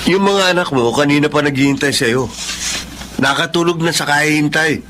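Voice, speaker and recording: A man's voice: a little high, normal speed, a bit bright, a little hiss or hum, close to an everyday voice. An actor playing a resentful working husband and father in a drama, scolding, with a Filipino accent. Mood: reproachful. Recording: faint ambience and rustle.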